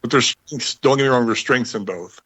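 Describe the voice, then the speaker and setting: A man in casual conversation as a show guest, speaking in a halting way. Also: mid-range voice, a bit bright, breathy edge, highs cut off. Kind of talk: casual chat.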